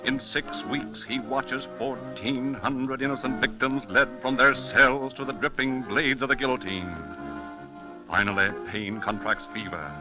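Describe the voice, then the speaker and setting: A man's voice: mid-range voice, a little slow, poor audio. A man narrating a scripted 1930s radio drama in a theatrical, emphatic manner. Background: dramatic music.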